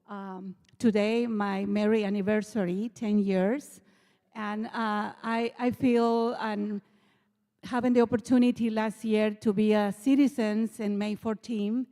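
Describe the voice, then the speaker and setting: A middle-aged woman from Bolivia with a Bolivian Spanish accent, Spanish her first language, giving an earnest, halting speech in English to a church assembly as a nonprofit director. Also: mid-range voice, mellow. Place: large church hall. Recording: long reverb of a large hall.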